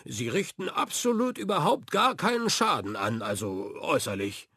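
A man's voice: on the high side, big pitch swings, normal speed, creaky, sharp tone, breathy edge, dry recording, studio quality, exaggerated voice. A man voicing a cheerful, bumbling, bearded pirate captain who mumbles sheepishly and can turn boisterous.

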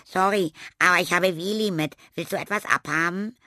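A male narrator voicing a lively playful schoolgirl in a children's story. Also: sits high, normal speed, no room sound, clean studio sound, pitched above the performer's own voice. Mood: cheerful.

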